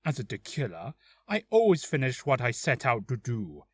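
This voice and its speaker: A man voicing a polite, menacing assassin from a video game in a courteous, measured, deliberate manner. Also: medium pitch, huge pitch swings, breathy edge, no echo. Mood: calm menace. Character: polite, menacing male assassin making ransom demands. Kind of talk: video game character voice acting.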